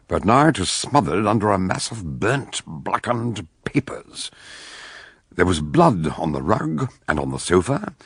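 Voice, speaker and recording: An actor playing an older, eccentric scholarly detective in a radio drama, telling the story in a theatrical, deliberate way with a gravelly voice. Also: male voice, low voice, no room sound, studio quality, full character voice. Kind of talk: radio drama narration and dialogue.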